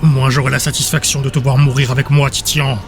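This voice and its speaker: A man's voice: mid-range voice, level tone, quick pace, close to an everyday voice. A man voicing a crazed, villainous counsellor in a dramatic audiobook, theatrical and sneering, bitter and desperate. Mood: gloating menace. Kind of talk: dramatic audiobook character acting. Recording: music behind the voice.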